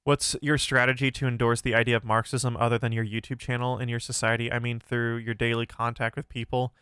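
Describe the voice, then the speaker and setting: A man's voice: mid-range voice, flat delivery, a little fast, sharp tone, clear, resonant tone, no echo, clean studio sound. A young man hosting a philosophy livestream, answering chat questions in a casual, thoughtful way with many fillers and restarts, his voice a bit nasal. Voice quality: somewhat nasal.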